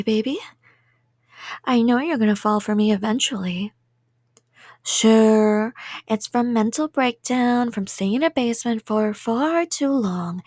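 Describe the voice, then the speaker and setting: A woman's voice: a little high, no room sound, clean studio sound. A woman playing an obsessed young yandere kidnapper in an intimate ASMR roleplay, rambling coyly and sweetly with menace. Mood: cheerfully obsessed. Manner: rambling, giggly, coy, threatening in a sweet tone.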